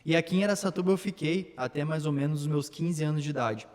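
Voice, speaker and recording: A young man whose first language is Slovak talks through his life story in earnest detail, his voice sitting a little high for a man. The recording carries a long, hall-like reverb. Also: quick pace, a little mellow. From born in São Paulo, raised in Slovakia and Brazil. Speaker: city council candidate. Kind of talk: casual interview, telling his life story.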